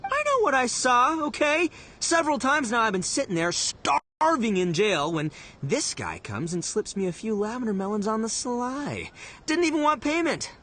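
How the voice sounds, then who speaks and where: A man's voice: extremely high pitch, sing-song, moderate pace, full character voice. A man playing a brash, goofy, hot-headed but big-hearted gang boss in an anime video game, cocky and hammy. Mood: indignant, boisterous. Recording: loud music behind the voice.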